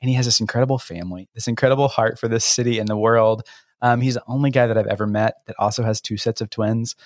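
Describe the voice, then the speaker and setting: Effusive American podcast host with a low voice. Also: male voice, steady tone, fast talker, dry recording. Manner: effusive, informal. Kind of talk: conversational interview hosting.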